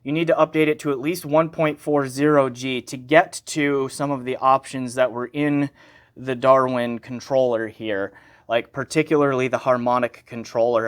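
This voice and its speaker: Male reviewer, mid pitch, conversational and unscripted, correcting himself as he demonstrates gear. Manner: conversational, self-correcting, thinking aloud. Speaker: gear reviewer and channel host.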